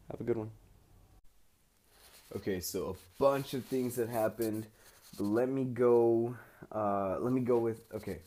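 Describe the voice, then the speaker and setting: A man's voice: fairly low pitch, mellow. A young American man recording a casual audio diary, rambling informally in slangy everyday speech. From United States. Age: young adult. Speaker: student recording an audio log abroad.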